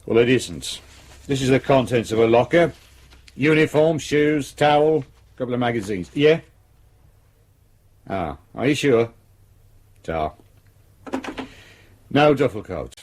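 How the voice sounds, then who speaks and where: A senior police detective who runs the case and lets everyone know it. He is brusque and commanding, with an ordinary mid-pitched male voice and a threatening edge, in radio drama style. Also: lively intonation, slow pace, long pauses, a little mellow, slightly rough. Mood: brisk, businesslike.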